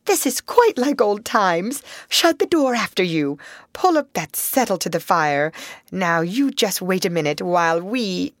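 A woman voicing an eager, emotional male mole in a storybook, excitable and earnest in manner. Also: fairly low pitch, unhurried, no echo, studio quality.

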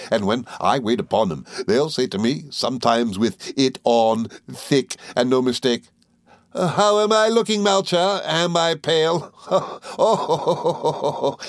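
A man's voice: mid-range voice, dry recording, clean studio sound, pitched above the performer's own voice, natural voice. A man doing a tiny, giggling, volatile woman. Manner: rapid, giggling, volatile chatter. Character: tiny, chattering, sly middle-aged woman.

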